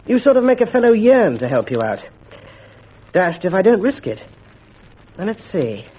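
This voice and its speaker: A man's voice: high-pitched, sing-song, moderate pace, a little mellow, dull audio, a little hiss or hum, voice pushed up. A man voicing a sly schoolboy with a sarcastic manner.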